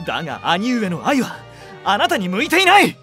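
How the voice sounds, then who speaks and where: A man's voice: high-pitched, wildly swooping pitch, moderate pace, pushed and bright, faint music behind, exaggerated voice. A man playing a brash, sardonic young emperor in an audio drama, with a blunt, casual, teasing manner. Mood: melodramatic, pleading. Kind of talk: anime-style audio drama acting.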